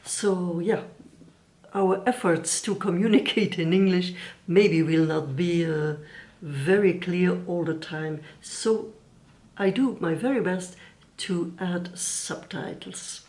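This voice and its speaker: A Dutch-speaking woman hosting her own crafts channel, greeting viewers in English in a friendly, halting way with fillers. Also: lowish voice, darkish tone. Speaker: YouTube crafts channel host. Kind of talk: casual vlog introduction.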